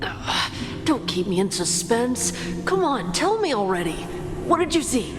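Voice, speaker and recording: A stubborn little village boy with a high voice that leaps up and down in a game cutscene. He talks in a childlike, pleading way. A long reverb trails each line under loud music. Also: very animated, measured pace. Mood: curious.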